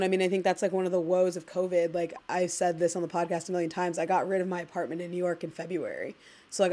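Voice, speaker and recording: A woman co-hosting a casual podcast chat, her voice sitting a little low. She rambles freely, peppering her talk with "like", and sounds slightly congested. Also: darkish tone. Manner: chatty, full of "like" fillers. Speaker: podcast co-host.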